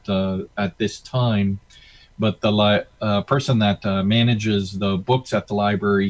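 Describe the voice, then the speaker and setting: A man with a fairly low voice relays questions at an online meeting. He speaks informally and hesitantly, in a halting flow. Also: rough recording. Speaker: question moderator at an astronomy club.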